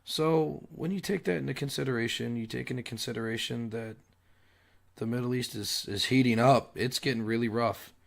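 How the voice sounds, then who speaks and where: Man, young adult, sick and losing his voice, throat clearing, halting commentary, middle pitch. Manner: frequent throat clearing and filler pauses; halting, restarts mid-sentence. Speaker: podcast host. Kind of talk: solo political commentary.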